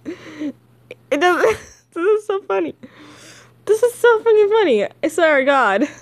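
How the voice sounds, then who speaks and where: A woman's voice: extremely high pitch, lively intonation, slow pace. A woman dubbing comics as an amateur, chatting casually, swearing and giggling at herself. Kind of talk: casual fan comic dub with chatty asides.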